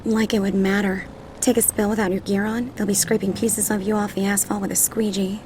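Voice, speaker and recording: A woman's voice: medium pitch, level tone, brisk, darkish tone, slight background noise, lightly acted. A woman playing an anxious, wry young college student who scolds herself in a dramatized audiobook. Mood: wry, self-scolding.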